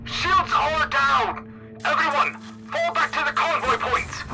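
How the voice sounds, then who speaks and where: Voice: high voice, slow pace, gravelly, exaggerated voice. An actor playing a tense, blunt military first sergeant in a sci-fi radio drama, barking urgent battle orders. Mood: urgent shouting. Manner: urgent, barked exclamations. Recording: roomy, distant, music behind.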